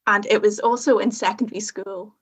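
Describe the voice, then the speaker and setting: Young woman, Northern Irish accent, husky, frank and self-mocking, casual guest. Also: medium pitch, steady tone, a little fast. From Northern Ireland. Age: young adult. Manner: frank, self-mocking, laughs at own story. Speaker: podcast guest. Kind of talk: casual conversation.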